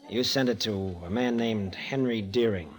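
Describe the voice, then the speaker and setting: A man plays a world-weary racetrack hustler in a vintage radio drama. The voice sits fairly low, and he speaks in short, subdued, understated phrases. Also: normal speed, lightly acted. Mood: flat, restrained. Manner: clipped, understated, laconic. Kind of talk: 1950s radio drama acting.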